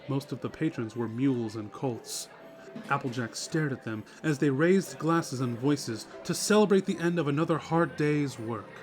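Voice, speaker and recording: A male narrator reads a scripted story in a medium-pitched voice. Music plays faintly behind him as he tells the tale.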